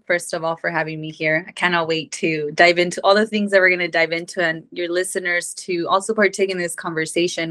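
A woman in her twenties, from Mexico, speaks as a podcast guest in a somewhat low voice. She tells her story earnestly, rambling along with plenty of fillers. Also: a bit bright. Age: 20s. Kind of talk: casual personal storytelling.

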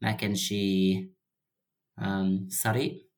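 A man's voice: deep voice, level tone, takes it slowly. Hesitant man with a Levantine learner accent. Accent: mixed Jordanian and Lebanese Arabic, as a learner. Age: young adult. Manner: hesitant, searching for words, mixes in English. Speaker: podcast host, Arabic learner. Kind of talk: casual chat.

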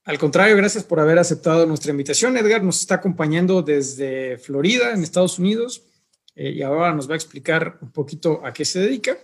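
A man hosts an interview in a slightly husky voice that sits a touch high for a man. He talks in an unhurried, hesitant way, often pausing to find his words. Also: animated. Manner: unhurried, hesitant, fills pauses with eh and pues. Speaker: podcast host.

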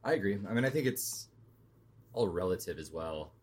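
A man co-hosts a casual podcast in a very deep, low voice. He talks conversationally and keeps checking in with his partner to make sure he is understood. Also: lively intonation, moderate pace, darkish tone, slight background noise. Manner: conversational, checks in with "you know what I mean". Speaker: podcast co-host. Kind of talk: casual chat.

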